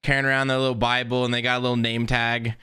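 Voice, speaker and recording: A man's voice: medium pitch, little pitch movement, quick pace, bright, forceful tone, clean tone, no echo. A man in his twenties telling personal stories in casual, slangy chat, often laughing as he talks. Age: 20s. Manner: laughs while talking, informal slang.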